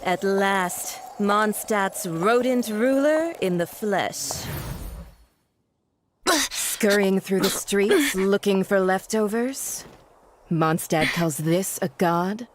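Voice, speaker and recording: Woman as a slow-drawling, haughty villainess. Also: mid-range voice, lightly acted. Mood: cold contempt. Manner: sneering, drawling, imperious. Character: haughty, menacing female villain, cold and contemptuous. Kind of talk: video game character acting. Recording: heavy ambient noise behind voice.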